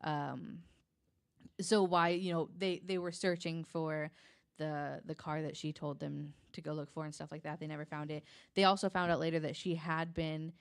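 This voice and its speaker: A woman with a deep-set, fry-edged voice talks through true crime on a podcast. She is informal and halting, often hedging, and the sound is dry with no room echo. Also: level tone. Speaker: podcast guest. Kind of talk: conversational true crime case retelling.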